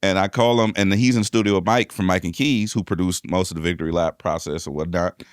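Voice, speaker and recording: A man co-hosting a podcast with a deep voice, telling playful, animated stories and acting out scenes. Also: a little fast, no echo, studio quality. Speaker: podcast co-host, DJ. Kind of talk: casual chat.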